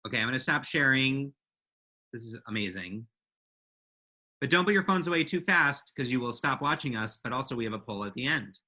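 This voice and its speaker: A woman co-hosting a casual comedy podcast in a brisk, playful, jokey way. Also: a bass voice, expressive, sharp tone. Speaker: podcast co-host. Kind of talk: casual comedic chat among friends. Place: recorded remotely over a video call.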